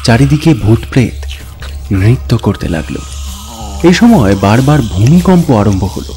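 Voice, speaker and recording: A man gives a dramatic, suspenseful narration of a scary story in deliberate, theatrical phrases. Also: mid-range voice, big pitch swings, dark tone. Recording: voice over background music. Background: music bed.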